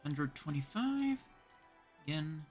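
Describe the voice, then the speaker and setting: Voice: medium pitch, sing-song, slow, darkish tone, soft background music. A streamer from Canada giving casual live video game commentary, chatting and thinking aloud in short remarks.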